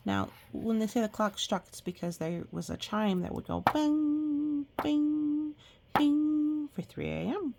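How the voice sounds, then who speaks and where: A woman reading a picture book aloud with chatty asides, reacting expressively and explaining things gently as if to young children. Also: high-pitched, expressive, slow, vocal fry, background noise.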